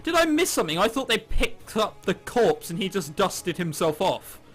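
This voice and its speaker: A man's voice: high-pitched, big pitch swings, moderate pace, a bit bright. A man reading a visual novel aloud as a gaming host, with amused, sarcastic, sweary asides, over game soundtrack music. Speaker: host of a gaming video series.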